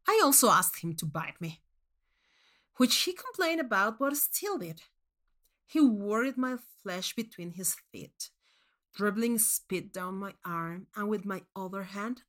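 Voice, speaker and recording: Female story narrator, medium pitch, animated and dramatic first-person read.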